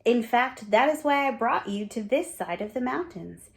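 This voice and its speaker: A woman playing a cheerful, gentle shepherd. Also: a little high, expressive, moderate pace, gravelly. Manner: warm, reassuring and cheerful. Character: cheerful, kind male shepherd, gentle and strong.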